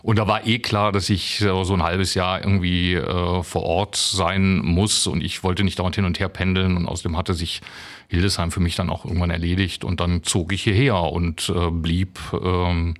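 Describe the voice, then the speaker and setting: Hesitant, low-voiced interview guest. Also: male voice, flat delivery, moderate pace. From Germany. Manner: hesitant, many ums, wry. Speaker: guest, writer and musician. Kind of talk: radio interview. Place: radio studio.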